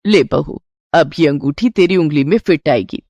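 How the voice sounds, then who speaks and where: A woman voicing a resourceful older mother-in-law in a Hindi cartoon moral tale, calm, confident and affectionate. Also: low-pitched, normal speed, no echo, highs cut off, voice pushed down. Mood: reassuring, confident. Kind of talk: cartoon storybook character voice.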